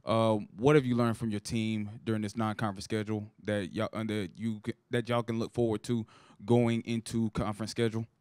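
Male reporter, lowish voice, clear, dry sound. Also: little pitch movement, a little fast, a little mellow, no echo. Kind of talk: press conference question.

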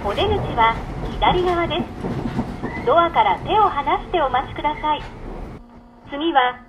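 Lady doing formal train announcements, high polite voice, lots of train noise. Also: animated, unhurried, dull audio. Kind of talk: scripted recorded announcement. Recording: very noisy recording inside moving train. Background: train rumble and noise.

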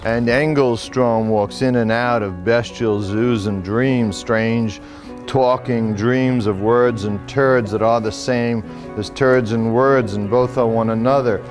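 A man playing a rambling, melancholy middle-aged poet in a theatrical monologue, halting and musing, repeating phrases to himself. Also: medium pitch, steady tone, soft background music, lightly acted. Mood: incantatory. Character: rambling, melancholy washed-up male poet, wistful and self-doubting. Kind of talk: theatrical monologue with recited verse.

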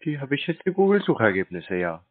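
Male phone customer, rough husky voice, mid-pitched, terse answers, band-limited call sound. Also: very animated, fairly quick, no room sound. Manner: curt, minimal answers. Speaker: called customer. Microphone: telephone. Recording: muffled narrow phone line.

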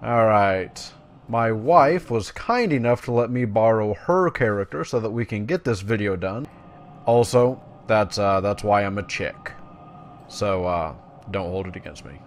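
A man's voice: fairly low pitch, animated, unhurried, a little hiss or hum. A man doing casual, jokey game commentary as a video host, at times reading game text aloud. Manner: jokey, laid-back.

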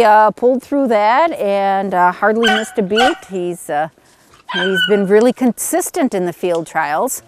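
A woman's voice: lowish voice, dry recording. A middle-aged woman chatting plainly about her hunting dogs outdoors in snowy woods, with lots of uhs, recorded on a camera mic. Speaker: beagle owner. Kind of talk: casual outdoor interview.

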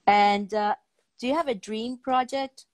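A middle-aged woman hosting a casual interview on a video call, with halting phrasing. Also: a little high, fairly even intonation, no room sound. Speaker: talk show host. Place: indoor room, on a video call.

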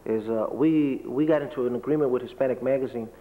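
A man's voice: mid-range voice, quick pace. Man explaining at a panel table. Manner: explanatory, steady, lists things. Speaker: panelist, business association leader. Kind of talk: panel discussion answer. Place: large lecture hall, seated at panel table. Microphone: table microphone. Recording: reverberant hall, dull lo-fi tape.

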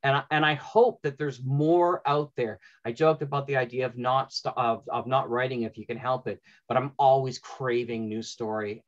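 A middle-aged man hosting a panel discussion on a video call, giving advice emphatically and repeating phrases for effect. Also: medium pitch. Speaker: panel host and moderator. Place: indoor room, on a video call. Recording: dull, lo-fi video call audio.

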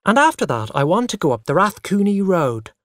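Man doing a pushy little boy from a storybook, voice pitched way up, loud and insistent. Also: huge pitch swings, a little slow, dry recording, studio quality, exaggerated voice. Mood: loud, demanding. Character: small boy, eager, demanding, indignant son.

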